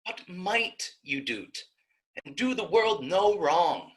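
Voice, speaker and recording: An actress playing a pleading, righteous young maiden in a staged reading of Shakespeare, with impassioned, rhetorical verse delivery. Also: female voice, fairly low pitch, expressive, slow, poor audio. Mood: earnest pleading.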